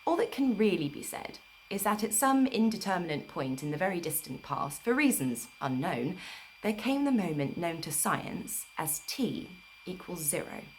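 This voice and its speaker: A woman reading a book aloud in a measured, deliberate storyteller's delivery on an amateur livestream recording. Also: lowish voice, big pitch swings, a little hiss or hum, rough recording. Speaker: storyteller reading an audiobook live. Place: informal livestream, not a studio.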